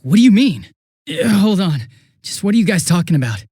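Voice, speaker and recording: High-pitched, animated young police detective. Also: male voice, a little fast, slightly rough, dry recording, studio quality, heavily acted. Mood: flustered, alarmed. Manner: casual, hesitant, excitable. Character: young male police detective, flustered, affable, scatterbrained. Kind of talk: English video game voice acting.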